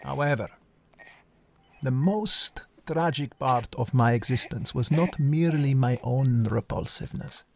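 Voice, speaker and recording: An actor plays a brooding, self-loathing man telling his life story in dramatic voice-over. His delivery is very slow and solemn, with a creaky edge and weighty pauses between poetic phrases. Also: mid-range voice. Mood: brooding, bitter. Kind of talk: dramatic first-person voice-over.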